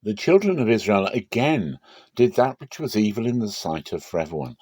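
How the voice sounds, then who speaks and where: A man giving a dramatic scripture reading in measured, short phrases. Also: lowish voice, big pitch swings, darkish tone, breathy edge, no echo. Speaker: Bible reader.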